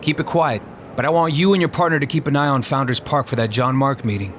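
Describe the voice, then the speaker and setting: Guarded police chief played by a man, medium pitch. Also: fast talker, a bit bright, slight background noise, lightly acted. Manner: guarded, instructing. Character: discreet, authoritative male police chief giving orders. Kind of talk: audio drama dialogue.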